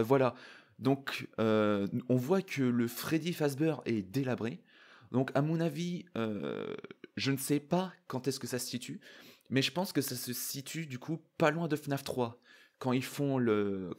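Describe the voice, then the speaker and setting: A man giving casual, excitable, slangy reaction commentary. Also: mid-range voice. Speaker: YouTuber reacting to and analysing a trailer.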